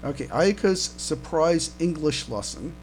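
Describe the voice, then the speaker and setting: A man co-hosting a casual fan podcast, chatting haltingly and often repeating his words. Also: a little high, expressive, darkish tone, a little hiss or hum. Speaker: podcast co-host.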